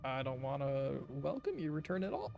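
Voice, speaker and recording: A man's voice: medium pitch, big pitch swings, moderate pace, creaky, muffled recording, over music. A man giving casual, irreverent, joking commentary as a game streamer.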